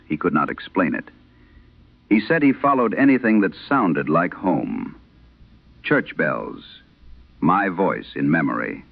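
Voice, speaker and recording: A man narrating a scripted horror tale in vintage radio style, solemn and measured, with dramatic pauses. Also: low voice, vocal fry. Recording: dull, band-limited vintage radio sound.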